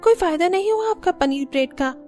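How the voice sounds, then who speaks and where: Woman voicing a pert, high-pitched young sister-in-law. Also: animated, fairly quick, mellow, faint music behind. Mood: critical. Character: outspoken, critical young sister-in-law, college student. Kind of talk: Hindi cartoon story dubbing.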